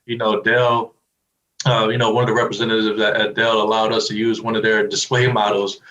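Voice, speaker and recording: Fast-talking low man, dull audio. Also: little pitch movement, a bit bright. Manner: rambling, many you-knows. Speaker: student team member. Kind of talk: casual storytelling in interview.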